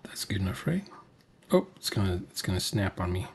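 A man's voice: sits low, sing-song, brisk, vocal fry, slight background noise. A man in his sixties giving casual, off-the-cuff reaction commentary, muttering asides as if talking to himself. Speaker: reaction video host. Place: cluttered home room, indoors.

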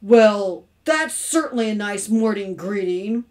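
A woman's voice: medium pitch, lively intonation, takes it slowly. Woman putting on a grumpy, doleful storybook husband. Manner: growling, doleful. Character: grumpy, mournful middle-aged husband and father.

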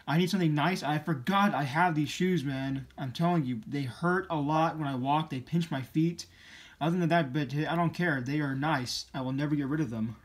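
A man's voice: a little high, moderate pace. A teenage boy hosting a sneaker channel, talking casually and rambling a bit as he shows his shoes, recorded on a camera mic in a room indoors. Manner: chatty, upbeat, rambling. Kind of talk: casual vlog show-and-tell.

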